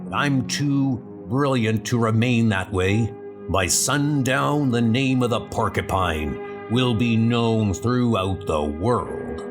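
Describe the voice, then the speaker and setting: A man's voice: mid-range voice, lively intonation, takes it slowly, over music, pitched below the performer's own voice. A man voicing a pompous, gloating master criminal in a comic book dub. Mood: gloating, scheming. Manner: pompous, boastful. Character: gloating, embittered male master criminal in porcupine suit.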